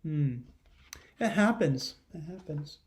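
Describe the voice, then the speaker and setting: A middle-aged man reads a picture book aloud as a teacher would. His voice sits high for a man and he takes his time. He tells the story expressively and pauses to put questions to his young listeners. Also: expressive. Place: living room at home.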